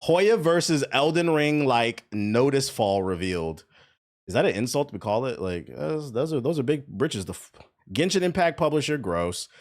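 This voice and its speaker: American guy streamer reacting to trailers, super animated, lots of slang and cussing, up and down pitch. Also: normal speed. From US East Coast. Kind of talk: casual live-stream reaction commentary.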